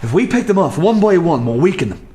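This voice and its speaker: A man's voice: on the high side, very animated, quick pace, mellow. A man playing a scornful soldier with an Irish accent in a radio play. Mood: scornful, intent. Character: scornful Irish soldier fighting against the rebels.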